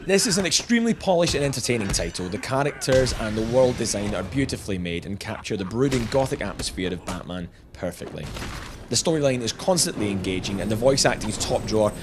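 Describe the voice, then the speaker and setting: A man's voice: fairly low pitch, animated, fast, noisy background. A man narrating a scripted video game review with jokey, chuckling asides.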